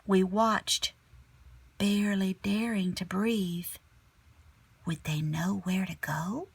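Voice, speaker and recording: A woman teacher reading a children's picture book aloud expressively, sometimes dropping to a hushed tone. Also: mid-range voice, slow pace, vocal fry, breathy edge. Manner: animated storyteller, drops to hushed whispery tones.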